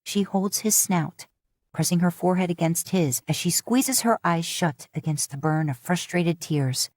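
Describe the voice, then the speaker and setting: Woman narrating a story, low and dry. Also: dry recording. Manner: steady, measured storytelling. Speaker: audiobook narrator. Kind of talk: fanfiction audiobook reading.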